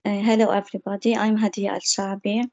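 A woman in her thirties giving a measured self-introduction as a student over a video call. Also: medium pitch, little pitch movement, creaky, dark tone, dry recording, highs cut off.

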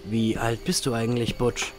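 A man voicing a blunt bar regular in a horror radio play. His voice sits at a middle pitch. Music plays clearly behind him. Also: flat delivery, normal speed, lightly acted. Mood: curious. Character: male bar patron, blunt and curious. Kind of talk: amateur horror audio drama.

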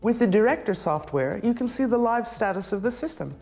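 Lively woman presenting a scripted tutorial. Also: on the high side, brisk. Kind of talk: scripted instructional narration. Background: background music.

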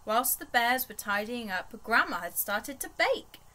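Young female storytime reader, higher register, slow expressive delivery for small children, recorded in a bedroom. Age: young adult. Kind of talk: expressive read-aloud of a rhyming picture book.

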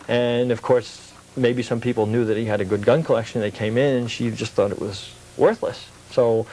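Male interview guest, middle-aged. Average pitch, casual, earnest, feels his way through explanations as a collector. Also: darkish tone, a little hiss or hum, poor audio.

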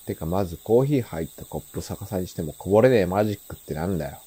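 Very deep, raspy teenage thug. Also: male voice, animated, rapid-fire, mellow, exaggerated voice. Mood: scornful. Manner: confrontational, needling rough slang. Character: scornful, aggressive teenage male delinquent. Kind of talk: Japanese radio drama acting.

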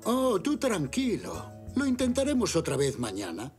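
A man voicing a kindly, encouraging old grandfather zebra in a cartoon dub, expressive. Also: sits high, normal speed, over music. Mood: reassuring. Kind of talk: Spanish children's cartoon dubbing.

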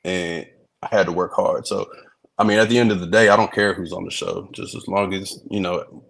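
A man with a very low, deep voice talks over a video call in an easygoing way. He is a comedian from Texas who chuckles often and restarts his phrases. The tone stays laid-back throughout. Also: fairly quick, vocal fry, slight background noise. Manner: relaxed, laughs easily, repeats words at starts. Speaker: comedian and reality show guest. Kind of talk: casual video-call interview. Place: indoor room.

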